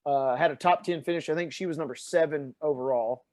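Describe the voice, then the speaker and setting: Animated Tennessee man telling stories. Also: a little high, fairly quick. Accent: Southern American, Tennessee. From Tennessee, USA. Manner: animated storyteller with many fillers. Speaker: podcast guest. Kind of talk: casual chat.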